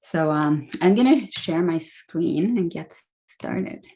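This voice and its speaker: A woman's voice: fairly low pitch, lively intonation, slow, dark tone, slight background noise. A woman in her forties with a Swedish accent, chatting through a slide talk as a guest speaker on a video call. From Sweden. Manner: friendly, chatty, many fillers.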